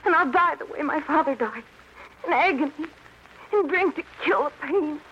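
A muffled, hissy old radio recording carries an anguished young daughter. Her voice is high and intense, with the fearful, overwrought delivery of a vintage melodrama. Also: expressive, normal speed. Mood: desperate. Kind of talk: 1950s radio melodrama acting.

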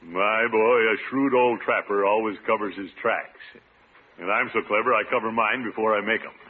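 An actor playing a self-satisfied, bumbling middle-aged bachelor uncle in vintage radio comedy, pompous and easily flustered. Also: male voice, lowish voice, steady tone, moderate pace, lightly acted. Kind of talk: 1940s-50s radio comedy acting. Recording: vintage radio recording, narrow band, hissy.

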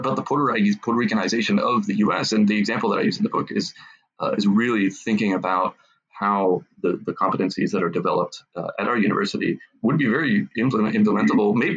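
A lower-pitched man with a husky edge, thinking aloud as he answers in an interview. He is from Massachusetts and speaks haltingly, restarting sentences and repeating words. The recording sounds dull and slightly lo-fi. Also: fairly bright tone. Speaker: author and academic.

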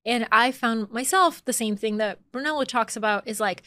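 A woman's voice: on the high side, moderate pace, bright, forceful tone, no room sound. A woman in her thirties, originally from Bulgaria and raised in the American South, talking casually as a podcast guest, with lots of "like" fillers and easy laughter. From born in Bulgaria, raised in Atlanta, Georgia. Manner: chatty, frequent "like" fillers, laughs easily. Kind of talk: casual conversational interview.

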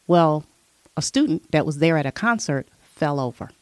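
Deep-voiced woman rambling through her life story, lots of "you know", dry sound. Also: expressive, normal speed, a little mellow, no room sound. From born abroad, raised in military family. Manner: chatty, storytelling, many "you know" fillers. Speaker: interview guest. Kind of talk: casual life-story interview.

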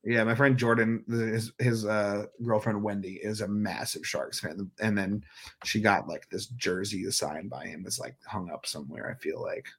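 A man's voice: fairly low pitch, normal speed, creaky, breathy edge. A man, chatting casually as a podcast guest in a rambling, profane, riled-up way. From Northern California. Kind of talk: casual chat.

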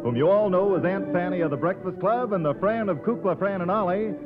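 A man's voice: high-pitched, brisk, muffled recording. An American man announcing an old-time radio show. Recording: band-limited old radio recording with music. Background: music.

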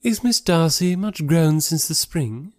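A man's voice: on the high side, animated, unhurried, a little mellow, no echo, studio quality, voice pushed up. A man voicing a haughty, catty young society lady in an audiobook, with an affected, snobbish and emphatic delivery. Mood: gushing. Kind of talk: classic novel audiobook character voice.

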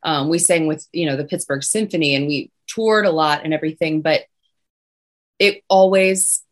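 A young woman singer with a low voice, chatting casually as an interview guest. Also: moderate pace. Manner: chatty, many restarts and fillers.

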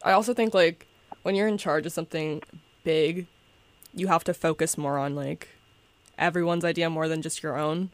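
A young podcast host asking questions in a relaxed, supportive way, with "like" and "um" fillers. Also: mid-range voice, moderate pace, dry recording. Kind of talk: casual podcast interview.

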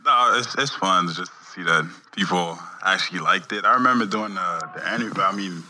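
A young man from Montreal whose first language is French, answering interview questions in English in a soft-spoken, halting way, often repeating words as he looks for them. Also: low-pitched. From Montreal, Canada. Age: young adult. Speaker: guest athlete.

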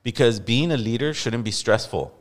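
A man's voice: medium pitch, normal speed. A man hosting a casual podcast chat, laid-back and loose, calling his guest bro and swearing freely. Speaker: podcast host. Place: podcast studio in Los Angeles.

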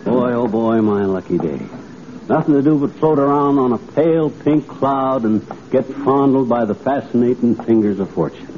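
A man's voice: medium pitch, moderate pace, close to an everyday voice. A man acting a cheerfully boastful, middle-aged everyman in 1940s radio comedy, with folksy, slangy banter. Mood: gleeful, boastful. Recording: noisy, tinny vintage 1940s radio recording.

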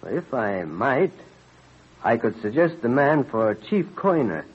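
A modest old clockmaker, husky, polite and a bit reluctant, dull old radio drama sound. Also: male voice, mid-range voice, very animated, slow, exaggerated voice. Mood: helpful, deferential. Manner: polite, hesitant, humble. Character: modest, deferential elderly clockmaker, reluctant but dutiful. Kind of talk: 1930s-40s radio drama acting. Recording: muffled old radio recording.

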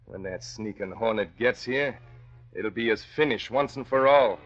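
An actor playing a callous, scheming nightclub gangster boss in a 1940s radio crime drama, talking in tough underworld slang. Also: male voice, mid-range voice, unhurried, faint music behind, close to an everyday voice. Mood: urgent scheming. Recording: dull old radio recording.

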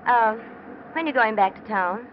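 A coy, worldly American woman in an old radio thriller. She teases and probes with very animated, theatrical intonation. The sound is dull and slightly hissy. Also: fairly high pitch, measured pace. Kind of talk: classic American radio drama acting. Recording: muffled, noisy old radio recording.

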